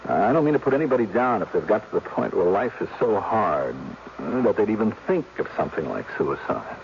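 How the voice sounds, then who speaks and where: A low-voiced, earnest man, animated delivery. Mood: earnest, sorrowful. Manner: halting, reflective, repeating himself for emphasis. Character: earnest, compassionate man musing sadly on hard life. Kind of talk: dramatic radio acting. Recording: dull, noisy old radio recording.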